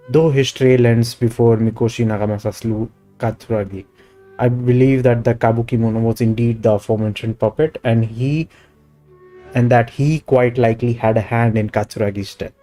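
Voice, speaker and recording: A man's voice: mid-range voice, warm tone. A man with an Indian accent doing live game commentary, casually switching into reading on-screen text aloud. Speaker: game streamer. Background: game music.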